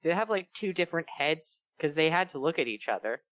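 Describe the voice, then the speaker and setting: A woman with a distinctly low voice banters in a relaxed, casual way. She is a reader on a group read-along and riffs on the story. The recording is dry and close. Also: fast, dry recording. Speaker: reader on a bad fan fiction read-along show. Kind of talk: casual group chat with riffing.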